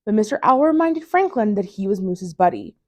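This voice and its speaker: A woman reading a children's picture book aloud as a library storytime reader, with expressive storytelling. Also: mid-range voice, a little fast.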